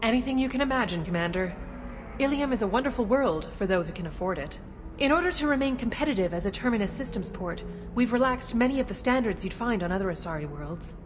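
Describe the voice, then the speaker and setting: A woman voicing a courteous female alien city guide in a video game, speaking with polite, formal and measured official courtesy. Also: on the high side, expressive, over music, natural voice. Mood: courteous, informative. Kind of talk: scripted video game dialogue. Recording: long reverberant hall, some background noise.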